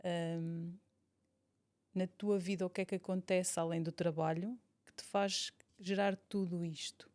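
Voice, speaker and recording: A woman with a European Portuguese accent and a moderately low voice leads an informal podcast interview. She puts personal, probing questions to her guest in a conversational way. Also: little pitch movement, a little slow, a little mellow.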